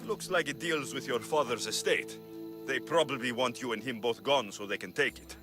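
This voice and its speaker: A man acts a Greek mercenary hero for a video game. He is cocky, flirtatious and smooth-talking, with a medium male pitch. Music is clearly audible behind his bold lines. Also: normal speed, lightly acted. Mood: alarmed. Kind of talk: video game cutscene acting.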